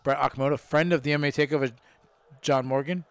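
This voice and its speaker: A man's voice: fairly high pitch, fairly even intonation, fast, clean tone, no echo. A man hosting a casual live podcast, joking around and making mock announcements. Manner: joking, hype-announcer delivery. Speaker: podcast host.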